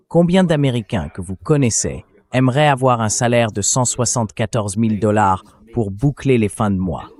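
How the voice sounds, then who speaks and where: A man's voice: mid-range voice, a little mellow. A man's AI dubbing voice delivering blunt, indignant, sarcastic political commentary. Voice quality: synthetic AI dubbing voice.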